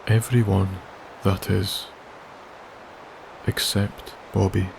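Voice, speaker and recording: A man narrates a sleep story in a soft, soothing voice, low and nearly flat in pitch. He speaks very slowly, leaving long pauses between phrases. A steady background hiss sits under his voice. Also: vocal fry. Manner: slow, soothing, gentle delivery with long pauses. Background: wilderness ambience.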